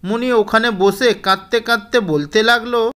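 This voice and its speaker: Clear, smooth woman storyteller. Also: a little high, moderate pace, a bit bright. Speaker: narrator. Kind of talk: scripted children's story narration.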